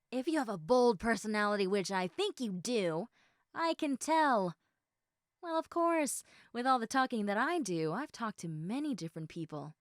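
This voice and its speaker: A woman's voice: a little high, dry recording, studio quality. A woman playing a bubbly, talkative, eager young woman in a one-sided roleplay, chatting emphatically and playfully straight to the listener. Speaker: audio roleplay performer.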